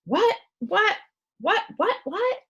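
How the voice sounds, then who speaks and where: A woman voicing a small, round old male doctor who is excitable, blustery and absent-minded in a children's storybook. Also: very high voice, slow, dull audio, pitched above the performer's own voice, heavily acted. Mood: excitable, curious.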